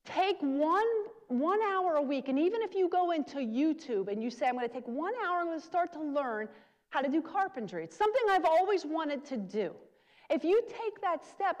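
A woman's voice: high-pitched, a little mellow. A woman preacher giving a sermon, urging her listeners and repeating key phrases for emphasis. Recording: long hall reverb.

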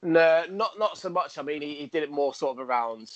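A man's voice: fairly high pitch, animated, no echo, dull audio. A man and professional wrestler in a casual interview, with frequent stammered restarts. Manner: stammering restarts, quick laughs.

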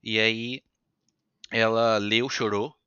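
Man, Brazilian, light European Portuguese tinge, lively, low-pitched, dry close sound. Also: slow, clear, resonant tone, no echo, muffled recording. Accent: Brazilian Portuguese with some European Portuguese influence. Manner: animated, chatty, acts out quoted voices. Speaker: podcast guest. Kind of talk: casual storytelling interview.

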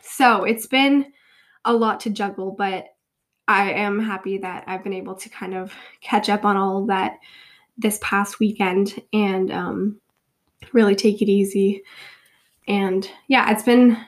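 A woman's voice: medium pitch, fairly even intonation, measured pace. A young woman in her twenties with a cold, talking casually as host of her own show, her voice congested and sniffly, rambling and joking at her own expense. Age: 20s. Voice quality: nasal, sniffly. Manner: rambling, self-deprecating, giggly. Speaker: podcast host. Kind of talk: casual solo chat.

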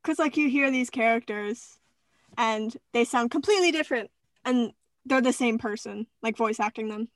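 A woman's voice: on the high side, normal speed, dry recording. A young woman chatting casually with friends, eagerly sharing trivia. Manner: animated, enthusiastic trivia sharing. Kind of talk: casual chat.

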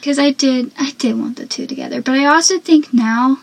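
A seventeen-year-old girl with a soft, young-sounding voice, chatting casually as a podcast host and dropping into whispered asides. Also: high voice, brisk, creaky. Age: teens. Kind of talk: casual solo podcast chat.

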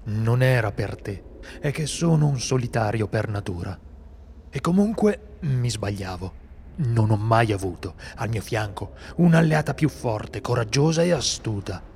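A man playing a seasoned, solitary monster hunter in an amateur radio drama, speaking gravely and steadily. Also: lowish voice, expressive, unhurried, a little mellow, a little hiss or hum. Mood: warm. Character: seasoned male monster hunter, brooding loner.

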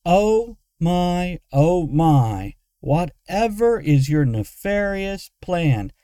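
A man's voice: high voice, very animated, very slow, warm tone, clean tone, dry recording, studio quality, pitched above the performer's own voice, full character voice. A man voicing a sarcastic, exasperated adventurer woman who snaps her lines in a fantasy audiobook.

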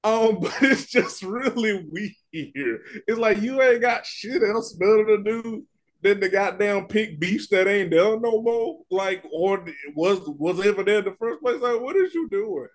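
A man co-hosting a casual gossip podcast, animated and profane, cracking jokes as he talks. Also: high voice, a little slow. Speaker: podcast co-host.

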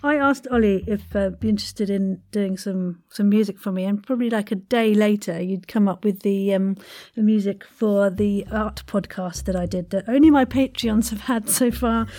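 A woman's voice: medium pitch, normal speed, mellow. A British woman hosting a podcast interview in a casual, chatty way, effusive and playfully exuberant, with slangy turns of phrase.

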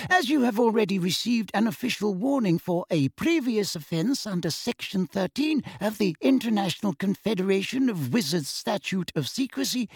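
A stiff, formal bureaucrat reading out an official letter, as a man puts him on. The voice is high, gravelly and husky, recorded dry and close. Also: no echo, exaggerated voice. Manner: formal, clipped.

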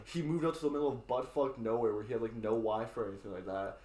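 A man's voice: mid-range voice, fairly even intonation, fast talker, a little mellow, reverberant. A young man casually telling a long story among friends, laid back and swearing a lot, with plenty of filler words. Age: young adult.

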